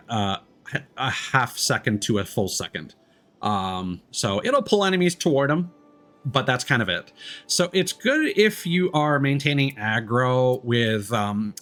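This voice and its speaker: A man's voice: medium pitch, a bit bright. A man giving casual gameplay commentary as a streamer, thinking aloud in a hesitant way as he explains. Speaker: video game streamer.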